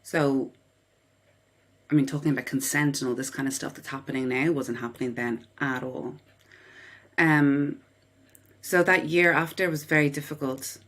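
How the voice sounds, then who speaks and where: A woman's voice: low-pitched, a bit bright. A woman from Dublin with an Irish accent, giving a personal account as an interview guest. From Dublin, Ireland. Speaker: podcast guest, writer and psychotherapist.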